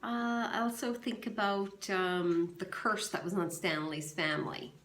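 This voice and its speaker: A woman teacher reading a novel aloud to her class and giving clear, measured instructions. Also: mid-range voice, fairly even intonation, fairly bright tone, slight background noise. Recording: echoey room.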